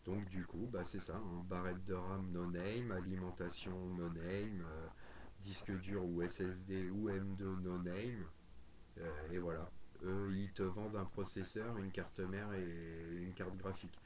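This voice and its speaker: A man's voice: sits low, steady tone, a little slow, darkish tone, slight background noise. A man chatting casually and bluntly in informal, opinionated slang. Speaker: radio hobbyist chatting on a group call stream. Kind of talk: casual chat.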